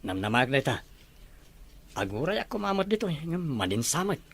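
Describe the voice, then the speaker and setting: A man's voice: a little high, big pitch swings, brisk, creaky, breathy edge, exaggerated voice. An actor playing an eager, insistent male family friend in a radio-style drama. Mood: anxious.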